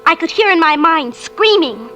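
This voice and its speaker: A woman's voice: very high-pitched, animated, moderate pace. An actress playing an earnest, insistent young girl in an old radio drama, pleading with childlike urgency. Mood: tense recollection. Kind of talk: 1940s-50s radio drama acting. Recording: vintage radio recording with music behind.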